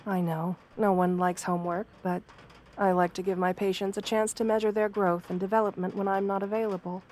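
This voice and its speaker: A woman playing a kind, professional wolf girl therapist in an ASMR roleplay, soft-spoken, gentle and measured in her reassurance. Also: mid-range voice, flat delivery, a little hiss or hum, close to an everyday voice. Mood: encouraging, instructive.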